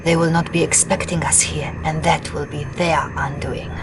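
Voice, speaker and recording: An actress playing a loyal, composed Soviet intelligence lieutenant with a Russian accent, briefing dutifully in a game cutscene. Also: female voice, sits low, little pitch movement, normal speed, heavy background noise, lightly acted. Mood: warning.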